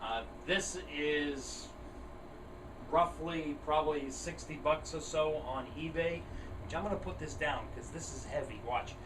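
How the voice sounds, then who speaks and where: A man's voice: a little high, a little slow. A man chatting plainly and casually, as in a rambling hobby show-and-tell video. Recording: roomy, distant mic, clear air-conditioner noise. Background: air conditioner running.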